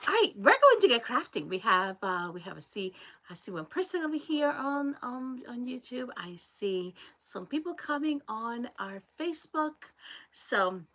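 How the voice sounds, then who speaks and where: A woman's voice: on the high side, very animated, measured pace. A woman from Colombia with a Colombian Spanish accent, hosting a live crafting stream and chatting with viewers as they join. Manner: chatty, greets viewers by name, warm thanks. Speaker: crafting livestream host.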